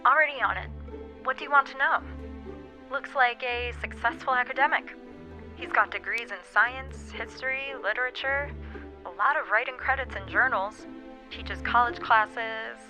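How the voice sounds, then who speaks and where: Bright, sassy sidekick. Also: female voice, on the high side, fairly even intonation, normal speed. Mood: casual, efficient. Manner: casual, blunt. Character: brisk, sassy, impatient female research sidekick. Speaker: voice actor. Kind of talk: scripted point-and-click game dialogue. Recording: music under the dialogue. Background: background music.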